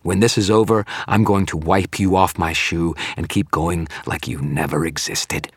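A man performing a harsh, snarling, villainous dark wizard. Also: sits low, fairly even intonation, unhurried, creaky, breathy edge, no room sound, clean studio sound, full character voice. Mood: snarling contempt. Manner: taunting, shouting, contemptuous.

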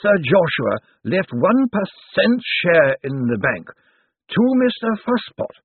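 A man putting on a slow, ancient zombie lawyer. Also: a little high, sing-song, no echo, voice pushed up, full character voice. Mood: dry, deliberate. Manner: slow, deliberate, drawn-out pauses. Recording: husky voice on a thin, phone-like, dry line.

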